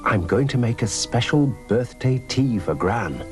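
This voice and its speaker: A man reading a children's story aloud, voicing a mother in a hushed, slightly hesitant way. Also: mid-range voice, sing-song, moderate pace, soft background music, pitched above the performer's own voice, natural voice. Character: busy mother planning a secret.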